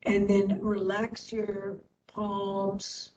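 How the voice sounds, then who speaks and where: A woman instructor leading a guided meditation in short phrases with long pauses. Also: monotone. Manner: slow, pausing between short phrases. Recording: distant mic, dull, faint rumbling noise.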